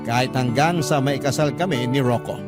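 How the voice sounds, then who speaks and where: A man's voice: medium pitch, moderate pace. A man with a Filipino accent narrating a personal letter as a first-person story for radio drama. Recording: music playing behind the voice. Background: plucked guitar music.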